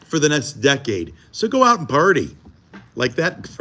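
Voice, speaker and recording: A man's voice: mid-range voice, wildly swooping pitch, unhurried, pushed and bright, slight background noise. A man co-hosting a live morning TV show from the studio, joking and teasing with a self-mocking manner. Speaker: morning TV news co-host. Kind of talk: live behind-the-scenes morning show banter. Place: TV studio during a live broadcast.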